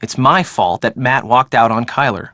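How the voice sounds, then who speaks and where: A woman's voice: rumbling low, moderate pace, a bit bright, dry recording, studio quality. An actress playing a breezy, sarcastic young woman in a video game, talking in a casual, slangy way. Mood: guilty. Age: young adult. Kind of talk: video game dialogue acting.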